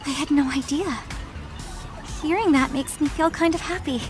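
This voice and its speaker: A woman playing a gentle, sincere young adventurer in a video game, soft-hearted and earnest. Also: high voice, moderate pace, music in the background, lightly acted. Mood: grateful. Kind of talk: English video game voice acting.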